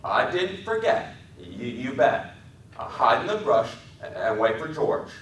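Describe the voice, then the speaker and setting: A man voicing a big, simple, childlike man, eager and pleading, with halting folksy speech, in a dramatic reading. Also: medium pitch, big pitch swings, a bit bright, voice pushed up. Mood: sad, anxious. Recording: roomy echoing hall, distant mic, faint noise.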